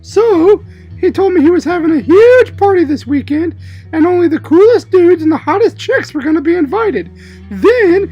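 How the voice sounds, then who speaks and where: A woman's voice: extremely high pitch, moderate pace, muffled recording, soft background music. A woman voicing an indignant, boastful twelve-year-old schoolboy in a comedy puppet show. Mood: boastful, excited. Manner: excitable, self-justifying.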